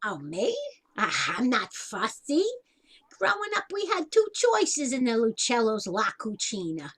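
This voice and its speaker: A woman's voice: a little high, huge pitch swings, measured pace, a bit bright, slightly rough, exaggerated voice. An actress playing a chatty, self-deprecating older Italian-American woman in a stage comedy, with a folksy, wisecracking way of talking.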